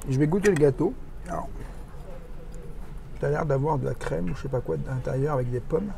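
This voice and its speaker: A man's voice: on the high side, a little fast, gravelly, warm tone, slight background noise. A man chatting casually as he tastes food for a vlog. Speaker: vlog companion tasting food. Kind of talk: casual chat. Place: busy restaurant at a theme park. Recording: restaurant crowd chatter behind.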